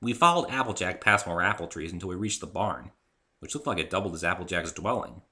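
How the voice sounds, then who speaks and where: A man's voice: low voice, lively intonation, fast, pushed and bright. A man narrating a first-person story in a dramatic reading style. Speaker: author reading own story.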